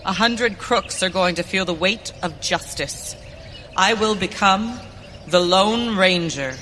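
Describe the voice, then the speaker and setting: A woman's voice: mid-range voice, slow pace, fairly bright tone, slight background noise. A woman playing a determined young rancher turned masked avenger in a western radio play, speaking earnestly and theatrically. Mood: resolute. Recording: reverberant hall, stage performance.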